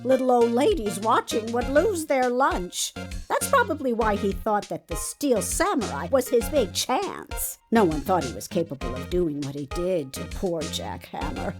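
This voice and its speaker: A brash older security lady scolds and gossips in folksy, old-fashioned talk. Her pitch swings widely. Loud music plays behind this game dialogue. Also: sing-song, unhurried. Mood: bossy, certain. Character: brash, nagging older female studio security guard. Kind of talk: voiced dialogue for a video game fan dub.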